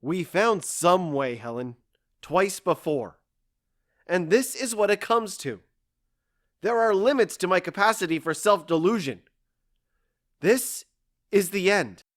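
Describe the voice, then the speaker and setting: A man's voice: high voice, animated, a little slow, pauses often. Man acting stoic, embittered, weary husband. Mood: weary, resolute. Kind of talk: dramatic audio drama acting.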